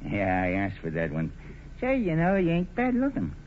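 A man's voice: mid-range voice, huge pitch swings, normal speed. A cocky, swooping New wise guy. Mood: cocky, flirtatious. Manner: slangy, cocky, wisecracking. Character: brash, flirtatious city wise guy with shady past. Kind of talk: 1940s radio play acting. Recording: muffled, hissy old radio broadcast.

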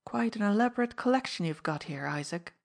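A woman voicing a masked gentleman thief who speaks softly, coolly and with mocking politeness. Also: mid-range voice, expressive, fairly quick, gravelly. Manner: soft, cool, politely mocking.

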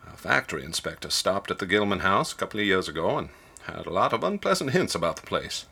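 An actor playing an older small-town ticket agent in a radio drama, a wary gossip with rambling, rustic speech. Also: male voice, low-pitched, very animated, fast talker, gravelly, bright, forceful tone, slightly rough, full character voice. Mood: cautionary.

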